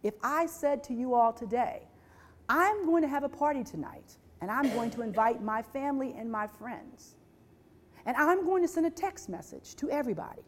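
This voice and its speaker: Woman presenter, high voice, rhetorical and repetitive, quizzes the audience. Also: moderate pace, a little hiss or hum. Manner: rhetorical, repeats phrases, asks the audience questions; voices quoted people with lively shifts. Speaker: speaker on workplace diversity.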